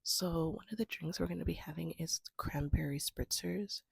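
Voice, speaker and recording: A woman with a cold, hoarse and sniffling, chatting casually in a soft, half-whispered way as she vlogs from her home. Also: low-pitched, normal speed, no room sound, studio quality. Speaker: homestead vlogger. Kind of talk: casual vlog chat. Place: at home, indoors.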